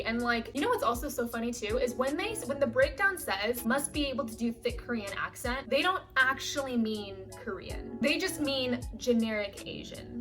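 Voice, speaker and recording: A young woman, an actress, telling stories in a chatty, animated way with many "like"s. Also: on the high side, distant mic. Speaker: actress and vlogger. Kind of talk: casual storytime chat.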